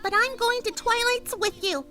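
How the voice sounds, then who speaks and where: A woman voicing an excitable, pushy female cartoon pony in a fan fiction reading, dramatic and insistent. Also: very high-pitched, normal speed, pitched above the performer's own voice. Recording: music under the voice.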